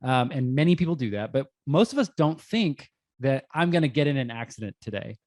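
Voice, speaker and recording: A man hosts an interview in a friendly, measured way. His voice sits at an ordinary middle pitch, and the recording is dry, with no room sound. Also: expressive, darkish tone, no echo. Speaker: host. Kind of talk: interview podcast.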